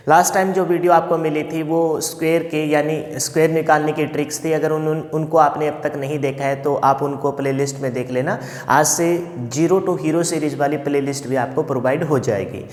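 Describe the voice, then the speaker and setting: Slightly hoarse man teaching in an echoey room. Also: on the high side. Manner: asks questions and answers them himself, repeating key points. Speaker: math teacher. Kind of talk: classroom math lesson. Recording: echoey room with long reverb.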